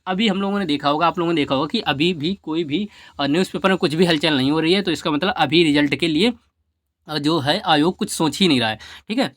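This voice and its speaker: Fast-talking, high-voiced man. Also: fairly bright tone, no room sound. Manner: repetitive, emphatic, advising. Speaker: exam coaching YouTuber. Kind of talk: informal explainer talk to students.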